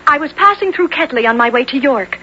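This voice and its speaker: A woman's voice: sits high, expressive, a little fast, bright, forceful tone. A woman playing a brave young orphaned heiress in a 1970s radio drama, speaking in earnest, theatrical, old-fashioned formal language. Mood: urgent, guarded. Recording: dull 1970s radio broadcast, noisy.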